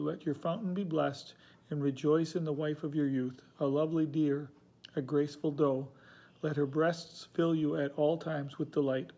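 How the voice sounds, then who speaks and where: A man reading scripture aloud in a solemn, measured recitation. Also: a little high, dark tone. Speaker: scripture reader.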